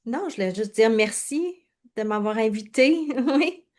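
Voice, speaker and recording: A woman's voice: a little high, expressive, a little slow. A woman from Quebec with a Quebec French accent, speaking casually as a coach being interviewed. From Quebec, Canada.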